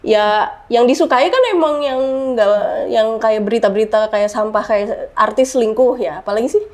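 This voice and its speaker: A woman's voice: a little high, normal speed, a little mellow. A middle-aged woman talking frankly in a casual podcast chat, using slang and repeating words for emphasis. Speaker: podcast guest.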